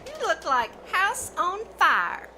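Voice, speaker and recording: Woman acting a confident teen girl, extremely high, swoopy pitch, slangy, radio comedy, noisy live recording. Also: sing-song, slow pace, heavily acted. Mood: brash. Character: perky, slangy teenage girl, confident best friend. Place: small theater stage, live performance.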